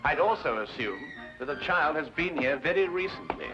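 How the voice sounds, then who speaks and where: A man's voice: a little high, expressive, moderate pace, natural voice. An English actor playing a confident, nonchalant male detective with dry wit in an old-time radio drama. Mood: musing, deductive. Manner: nonchalant, deductive, dryly witty. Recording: dull old radio recording, music behind.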